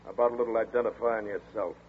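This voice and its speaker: An actor playing a tough big-city homicide detective who speaks bluntly and curtly, in 1940s radio acting. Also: male voice, on the low side, steady tone, very fast, slightly rough. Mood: terse. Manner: blunt, curt and commanding. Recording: tinny noisy 1940s radio broadcast.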